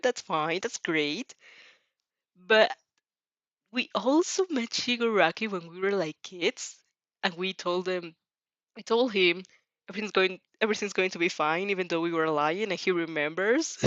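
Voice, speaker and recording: A woman with a mid-pitched voice talks in a giggly, informal ramble, moving between casual reaction chat and expressive story reading. The recording is dry and slightly muffled, with little room sound. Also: dry recording. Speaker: audio fan fiction reader and reactor.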